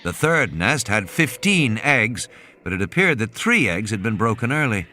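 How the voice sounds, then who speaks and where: A man's voice: lowish voice, very animated, moderate pace, sharp tone, a little hiss or hum. A man reading scripted dramatic narration as an audiobook narrator.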